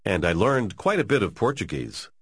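A man narrating a scripted language lesson in a clear, measured way, recorded on a studio mic. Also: fairly low pitch, big pitch swings, no room sound. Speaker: course narrator.

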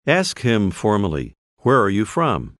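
A man narrating a scripted language course, clearly prompting the listener to repeat phrases. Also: on the low side, huge pitch swings, slow pace, fairly bright tone, no echo. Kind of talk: scripted audio lesson instructions. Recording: dry studio voice-over.

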